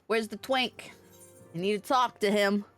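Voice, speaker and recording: A woman's voice: medium pitch, fairly even intonation, unhurried, a bit bright. A woman livestreaming a game, chatting irreverently with teasing and swearing. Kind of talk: livestream chat and reading game dialogue aloud. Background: game music.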